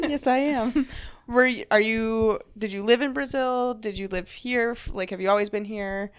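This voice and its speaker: A woman's voice: on the high side, smooth. A woman hosting a podcast, asking questions in a chatty, casual way. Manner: chatty, quick reactions and laughter. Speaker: podcast host. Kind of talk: casual interview chat.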